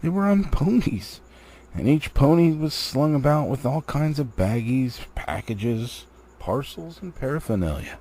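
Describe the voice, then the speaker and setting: Male storybook narrator, theatrical delivery with a husky edge and very animated intonation. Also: medium pitch, unhurried. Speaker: amateur storybook reader. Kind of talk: expressive audiobook reading of a fantasy novel.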